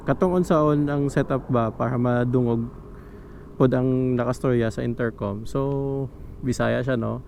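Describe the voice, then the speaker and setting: A man's voice: medium pitch, vocal fry. A man vlogging from his motorcycle, chatting casually through a helmet microphone with lots of fillers. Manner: relaxed, chatty, many fillers. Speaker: motovlogger. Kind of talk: casual tutorial vlog. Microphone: helmet microphone into action camera. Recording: wind and engine noise from a helmet mic.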